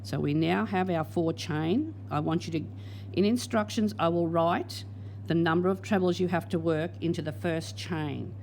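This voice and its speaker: Patient woman teaching crochet, hoarse. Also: fairly low pitch, normal speed, a little mellow, a little hiss or hum. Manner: patient, step-by-step instruction. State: losing her voice. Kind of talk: crochet tutorial.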